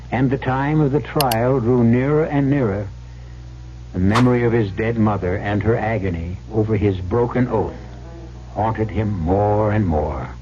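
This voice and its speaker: A male narrator tells a story for an old-time radio drama over prominent music. His delivery is solemn and measured, with a medium-pitched voice on a slightly dull recording. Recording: muffled old radio broadcast.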